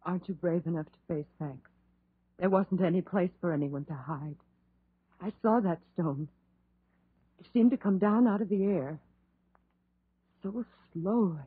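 A woman's voice: lowish voice, a little slow, long pauses, breathy edge, no room sound. An actress playing an educated, solemn young woman full of superstitious dread and guilt in a 1930s radio horror play. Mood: ominous, fearful. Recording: muffled, tinny 1930s radio recording.

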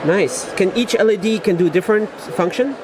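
A man's voice: high voice, fairly quick. A man asks casual, on-the-spot product questions as a video interviewer, in clipped and simplified English. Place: indoor exhibition hall booth. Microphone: behind the camera, on-camera mic. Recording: busy trade show crowd noise.

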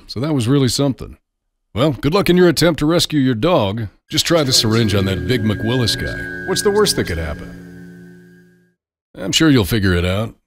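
An actor playing a smug, psychotic alter ego in a video game, with a sarcastic, taunting, theatrical delivery. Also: male voice, medium pitch, lightly acted. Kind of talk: scripted video game character lines.

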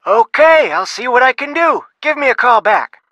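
A blustery folksy researcher. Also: male voice, extremely high pitch, very animated, normal speed, sharp tone, heavily acted. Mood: gruff. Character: gruff folksy older male shipwreck researcher. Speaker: phone contact in a game.

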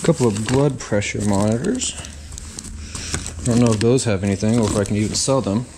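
A man's voice: lowish voice, a little fast. A man reselling storage unit finds, thinking aloud with wry asides as he digs through boxes, filmed on a head-mounted camera in a metal storage hallway. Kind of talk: casual vlog narration while unboxing. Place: indoor self-storage hallway, metal walls. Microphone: head-mounted action camera. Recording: noisy, crinkling and rustling behind.

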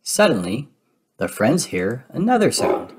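A man reading a children's storybook aloud in expressive narration. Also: medium pitch, measured pace, heavy background noise. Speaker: storybook reader.

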